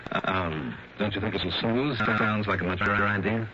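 A man's voice: on the low side, steady tone, moderate pace, pushed and bright, a little hiss or hum. An actor playing a shrewd, wary private investigator in a 1960s radio drama, narrating his case in a clipped, world-weary way. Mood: guarded, polite. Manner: clipped, world-weary first-person telling. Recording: dull old radio broadcast, music behind.

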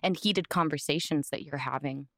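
A woman's voice: low voice, normal speed, no echo, studio quality. A woman hosting a podcast, asking long, rambling questions in casual conversation. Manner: warm, rambling questions with fillers and restarts. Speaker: podcast host. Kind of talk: casual interview chat.

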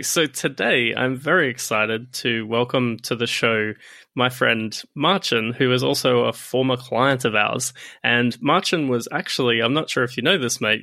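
A man hosting a business podcast, reading a scripted intro and then chatting with a guest in a brisk, friendly way. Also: medium pitch, gravelly, pushed and bright, no echo, clean studio sound. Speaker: podcast host and deal maker.

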